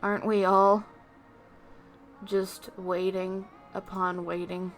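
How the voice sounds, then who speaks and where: A woman's voice: mid-range voice, little pitch movement, slow. A woman livestreamer chatting casually to viewers in a rambling, self-deprecating way, with music behind her. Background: background music.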